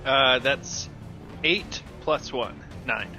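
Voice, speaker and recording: A man's voice: medium pitch, drawn-out words, pushed and bright, dull audio. A man chatting casually as a player in a tabletop game. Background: background music.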